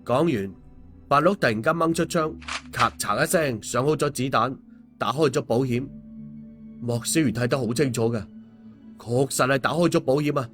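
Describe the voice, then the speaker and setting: A man's voice: mid-range voice, normal speed, a bit bright, soft background music. A man narrating a scripted audiobook story.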